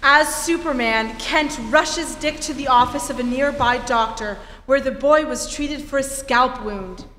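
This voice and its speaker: A woman's voice: sits high, unhurried, hardly any pauses, fairly bright tone, slight background noise. A woman giving dramatic scripted narration in the style of a 1940s radio serial. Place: theatre stage before an audience. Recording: echoey hall, live stage performance.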